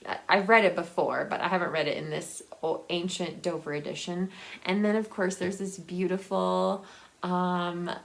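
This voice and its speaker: Chatty woman with a creaky voice. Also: mid-range voice. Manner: chatty, rambling, often giggly; enthusiastic about books. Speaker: book vlogger and host. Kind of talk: casual unscripted book chat.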